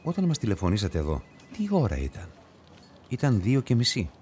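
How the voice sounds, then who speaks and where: An actor in a radio play playing a patient, methodical police investigator who questions and explains in a measured way. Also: male voice, fairly low pitch, wildly swooping pitch, darkish tone, a little hiss or hum. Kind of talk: radio drama acting.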